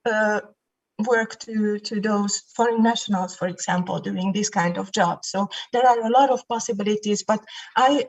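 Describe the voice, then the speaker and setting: A woman speaking as an election candidate through muffled, dull video call audio. Her voice sits at a middle pitch for a woman, and she answers in a measured way, pausing often with fillers. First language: not Finnish. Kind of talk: online panel debate answer.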